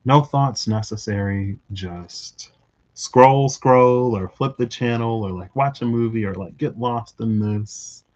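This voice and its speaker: A man thinking out loud in a rambling, reflective monologue for his tarot channel, full of fillers and restarts. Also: mid-range voice, slow, lo-fi sound. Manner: musing, lots of fillers and restarts. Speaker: tarot reader vlogger.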